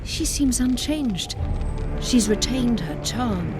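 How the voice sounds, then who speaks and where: An actress playing a proud, imprisoned sorceress who pleads urgently for release while keeping a haughty edge. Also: female voice, fairly high pitch, animated, slow pace. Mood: urgent, pleading. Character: proud imprisoned sorceress, middle-aged woman. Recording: game audio with orchestral music.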